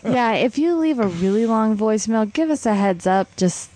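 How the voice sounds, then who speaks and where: A woman's voice: on the high side, lively intonation, moderate pace, dark tone. A woman co-hosting a podcast, chatting casually in a playful, teasing way and giggling often. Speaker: podcast co-host. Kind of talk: casual chat.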